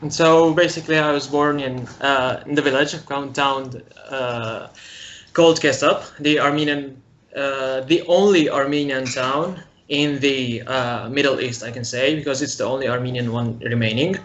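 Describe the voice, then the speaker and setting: A young man from Syria, a guest in a casual interview, speaking haltingly and searching for his words. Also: medium pitch, fairly even intonation, fairly bright tone. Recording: muffled lo-fi recording.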